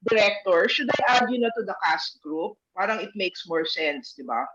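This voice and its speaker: A Filipino-accented woman chats casually as she runs an online meeting. She slips between English and Tagalog at a middling pitch. The sound is a dull, lo-fi video call. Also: lively intonation, moderate pace, a bit bright. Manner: code-switches English and Tagalog. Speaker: meeting organizer.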